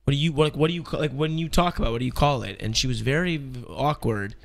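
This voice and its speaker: A man speaking as a guest on a live radio show, blunt and crude, often halting mid-sentence. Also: medium pitch, vocal fry. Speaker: guest co-host. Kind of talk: casual live radio banter.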